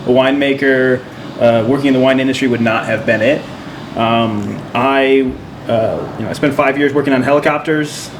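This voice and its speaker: A male winemaker co-hosting a podcast, telling his story in a relaxed, rambling way with many fillers. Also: mid-range voice, normal speed. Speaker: winemaker and co-host. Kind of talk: casual podcast chat. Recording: steady background noise.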